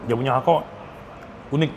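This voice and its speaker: A man's voice: mid-range voice, normal speed. A man gives a casual, enthusiastic food review to camera, often stretching words for emphasis. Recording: noticeable background ambience noise.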